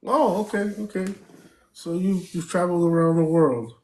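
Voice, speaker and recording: A man's voice: high-pitched, normal speed, mellow, low-quality recording. A man hosting a live stream, chatting casually, thanking viewers and stopping often with fillers.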